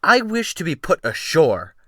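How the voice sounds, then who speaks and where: A man voicing a flustered, indignant gentleman castaway with a prim, protesting manner in a dramatic audiobook. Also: fairly high pitch, wildly swooping pitch, a little slow, dry recording, clean studio sound, voice pushed up, exaggerated voice. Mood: firm, stiff. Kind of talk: dramatic audiobook character acting.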